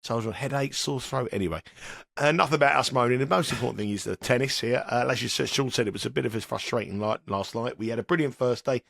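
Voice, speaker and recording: A man's voice: medium pitch, fast talker, gravelly, a bit bright, dry recording, clean studio sound. A man hosting a betting podcast in a chatty, rambling way, sounding nasal and sniffly from a cold. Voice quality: slightly sniffly. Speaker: podcast host. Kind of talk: casual betting chat.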